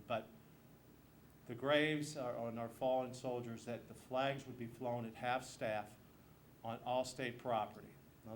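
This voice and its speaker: An American man speaking as a political candidate, giving an emphatic campaign speech with repeated phrases. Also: medium pitch. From Missouri, United States. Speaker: state legislator and candidate. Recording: noisy live event room recording.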